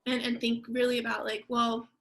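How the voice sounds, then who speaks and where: A woman author speaking earnestly and thoughtfully on a panel, often halting as she reflects. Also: fairly high pitch, monotone, a bit bright. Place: online video event.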